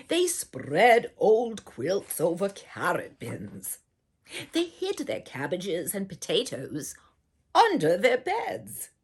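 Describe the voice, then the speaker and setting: Lady doing storytime for kids, super expressive, slow n deliberate, bit husky. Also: medium pitch. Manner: theatrical, deliberate, addressing young listeners. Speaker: storyteller reading a picture book aloud. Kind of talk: performed children's storybook narration.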